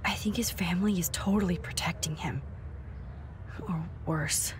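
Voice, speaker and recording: A woman acting a caring, nerdy young student photographer in a video game, hushed and supportive, quietly determined. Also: on the low side, normal speed, over music, close to an everyday voice. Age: young adult.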